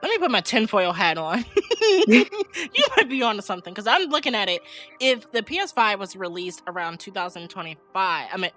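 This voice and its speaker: A woman's voice: mid-range voice, big pitch swings, normal speed, fairly bright tone, soft background music. A woman co-hosting a gaming podcast in casual, slangy, emphatic chat, sounding like she has a slight head cold. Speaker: podcast co-host and game streamer.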